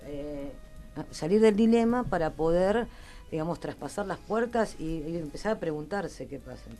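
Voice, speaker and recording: A woman's voice: low-pitched, lively intonation, moderate pace, warm tone, soft background music. A woman with a Rioplatense Argentine accent in a radio discussion, agreeing and hesitantly feeling out her point.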